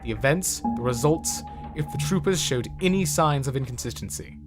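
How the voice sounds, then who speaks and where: A man's voice: medium pitch, animated, moderate pace, lightly acted. A man playing a proud, zealous military general with a formal, speechifying manner in a dramatic comic dub. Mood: sardonic, reproachful. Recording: music behind the voice. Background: music.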